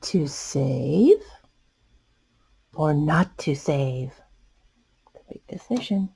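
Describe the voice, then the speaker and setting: Animated woman reading aloud, unhurried. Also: low-pitched, creaky, slightly rough. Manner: animated, addressing young listeners. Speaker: children's storytime host. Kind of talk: expressive picture-book read-aloud with asides.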